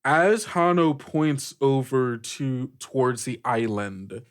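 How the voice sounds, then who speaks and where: A man improvising narration as game master of a tabletop game, informal and searching for his words in a halting way. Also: medium pitch.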